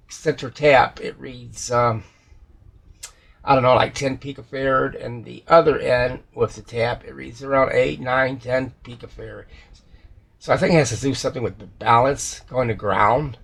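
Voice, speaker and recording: Male repair technician, heavy fry and a husky edge, unscripted and rambling, thinking aloud. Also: mid-range voice. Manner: rambling, hesitant, self-correcting. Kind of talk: casual unscripted repair walkthrough.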